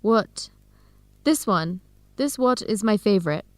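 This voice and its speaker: A woman playing a friendly young American researcher in a radio drama, with an American accent and a lively, expressive manner. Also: medium pitch, slow pace, dry recording. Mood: warm, chatty. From United States.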